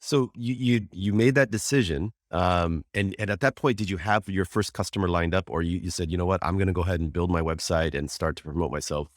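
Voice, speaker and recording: An American man hosts an interview, friendly and enthusiastic, circling around his questions with many restarts. His voice sits very low. The recording is dry and close. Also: brisk, hardly any pauses, no echo. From United States. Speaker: podcast host, interviewer. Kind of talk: casual conversational interview.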